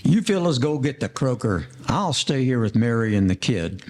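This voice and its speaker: A man playing an earnest, soft-hearted gangster in an audio play, using formal old-time slang. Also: mid-range voice, big pitch swings, moderate pace. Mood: protective.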